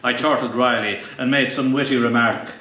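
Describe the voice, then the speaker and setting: A man giving a live comic reading from his novel in a pompous, deadpan, mock-grandiose manner. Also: medium pitch, moderate pace. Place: live event venue. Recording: roomy, distant live recording with faint noise.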